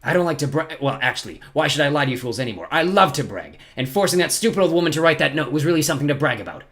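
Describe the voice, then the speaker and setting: A gloating male villain with a growl in his voice, as a man reads him, sneering at everyone around him. His delivery swings widely in pitch and he boasts openly. It is a storybook villain, wicked and self-satisfied. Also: sing-song, very fast, fairly bright tone.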